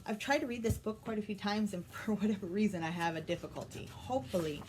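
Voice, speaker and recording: A woman's voice: medium pitch, animated, fast, darkish tone. Woman reading a storybook aloud, some noise. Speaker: storytime host reading a children's book.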